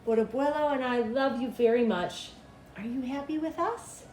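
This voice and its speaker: A woman voicing an affectionate, reassuring mother from a storybook, gentle and encouraging. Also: sits high, moderate pace, voice pushed up, lightly acted. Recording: echoey room with background noise.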